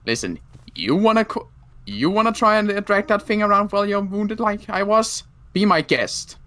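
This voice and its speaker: Bright, forceful, blunt zombie survivor played by a man. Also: sits high, lively intonation, moderate pace. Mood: defensive, wry. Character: wounded male rifle-carrying zombie survivor, blunt and wry. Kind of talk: improvised Minecraft roleplay.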